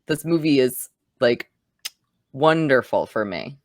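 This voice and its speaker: Enthusiastic low-voiced woman chatting about film. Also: animated, takes it slowly, dry recording. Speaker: host of a film review show. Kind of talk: casual film discussion.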